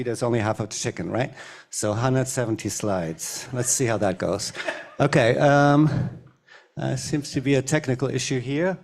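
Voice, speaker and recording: A middle-aged man with a German accent talks to a university audience, loose and chatty. He keeps making self-deprecating jokes. His voice sits at an ordinary middle pitch. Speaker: panelist designer. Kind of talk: conference talk with slides. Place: university event hall.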